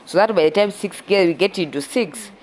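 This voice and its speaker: A young Kenyan woman with a Kenyan accent narrating her outdoor cooking vlog in a relaxed, chatty way, a little stuffy from a cold. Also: on the low side, fairly quick, slight background noise, low-quality recording. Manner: relaxed and chatty, with frequent "yeah" and restarts. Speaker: cooking vlogger. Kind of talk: casual voice-over narration of a cooking video.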